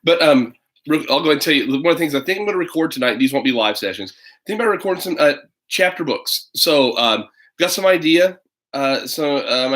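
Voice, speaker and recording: A man's voice: mid-range voice, fairly bright tone. A man in his thirties chatting casually and reading a children's storybook online, rambling and hesitant with playful asides. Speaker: parent reading a picture book for children online. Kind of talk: casual livestream chat and children's storybook read-aloud.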